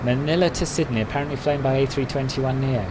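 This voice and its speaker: A man's voice: mid-range voice, quick pace, background noise. A man chatting casually on a live stream, answering viewers' messages one by one. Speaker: flight sim streamer.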